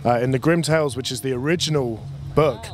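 A man's voice: mid-range voice, fast, slight background noise. Young man chatting casually outdoors. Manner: relaxed, chatty, with frequent restarts and laughs. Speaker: winery representative. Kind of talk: casual explanatory talk. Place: outdoor patio at a winery. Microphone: handheld mic held by the interviewer.

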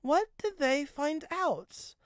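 Woman as shy female engine character, high small voice, hesitant, wounded, storybook drama, dry recording. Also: expressive, slow pace, dry recording. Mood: anxious, hurt. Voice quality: quiet, small voice. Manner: hesitant, emotional, rambling.

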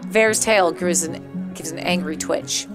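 A young woman livestreaming a game playthrough, reading its text aloud and reacting in a playful, crude, expressive way. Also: on the low side, slow. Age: young adult. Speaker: game streamer. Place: small home room, indoors. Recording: music behind the voice. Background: game background music.

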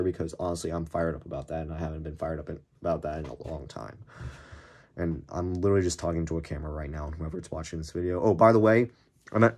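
A young man with a deep, cracked, slightly hoarse voice, rambling casually with lots of fillers while showing off a toy build. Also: a little mellow. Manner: rambling, many fillers and restarts. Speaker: Lego YouTuber. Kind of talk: casual show-and-tell of a build. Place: small room indoors at a table. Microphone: camera filming hands over a desk.

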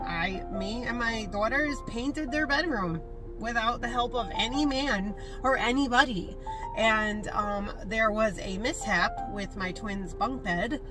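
A woman's voice: medium pitch, rough recording. A woman in her thirties with a congested, sniffly voice, chatting through a casual life-update vlog from a parked car into a handheld mic. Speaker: vlogger. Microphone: handheld karaoke microphone.

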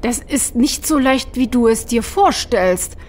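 A woman playing a gentle, level-headed troll in a fan audio drama, speaking in a measured, reasoning way. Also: fairly high pitch, animated. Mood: cautious.

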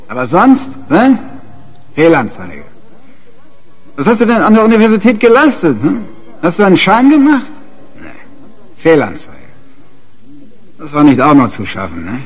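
A man's voice: medium pitch, a little mellow, slightly rough. An actor playing a contemptuous older father in a German radio drama, scolding in a sarcastic, pompous way with needling tag questions. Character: contemptuous older father berating his idle student son.

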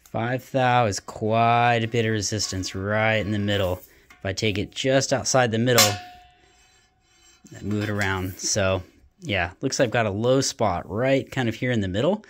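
Man, lowish voice, relaxed, thinking aloud, home workshop, body-worn camera. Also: normal speed, a little hiss or hum. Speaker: hobbyist welder demonstrating a test. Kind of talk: casual hands-on workshop demonstration. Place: small home workshop garage. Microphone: camera worn on the body, point-of-view framing.

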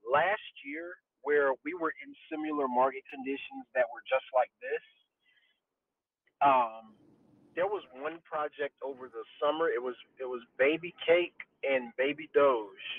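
A man's voice: on the high side, slightly rough. A man in a casual voice chat asking a question, giving an emphatic, urgent analysis of the market. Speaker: audience member asking a question. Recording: tinny phone-line audio.

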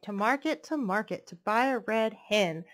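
A woman's voice: medium pitch, animated, moderate pace. A woman in her thirties reading picture books aloud to children in a playful, sing-song rhythm on a headset mic. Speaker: librarian reading storytime. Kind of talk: expressive picture-book read-aloud for children. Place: living room, indoors.

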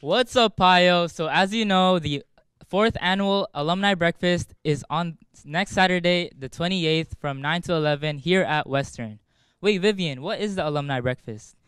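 A man's voice: high voice, moderate pace, a bit bright, clear, resonant tone, dry recording. A teenage boy presenting a school sports segment with a handheld mic, in casual scripted banter. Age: teens. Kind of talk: school news broadcast. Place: studio.

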